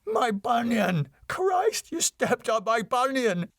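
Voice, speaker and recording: A British man voicing an irascible, exasperated sitcom husband complaining loudly in pain. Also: very high-pitched, very animated, takes it slowly, creaky, no echo, studio quality, pitched above the performer's own voice, heavily acted. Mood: pained, furious. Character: irascible sitcom husband howling about his sore foot.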